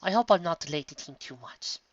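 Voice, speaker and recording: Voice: mid-range voice, expressive, a little fast, no echo, muffled recording, voice pushed up, lightly acted. A reader voicing a reserved young female pony cellist who talks politely and formally.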